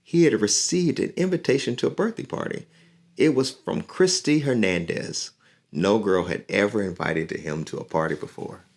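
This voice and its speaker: A man reading a picture book aloud to children in an encouraging, unhurried way. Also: lowish voice, vocal fry. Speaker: community leader and musician reading to children.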